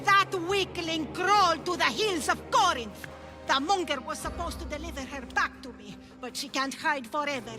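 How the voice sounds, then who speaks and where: A woman's voice: high voice, normal speed, over music, natural voice. A woman playing a cruel, gloating cult priestess in a video game, with a menacing, theatrical delivery. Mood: cold zeal. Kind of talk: dramatic video game voice acting.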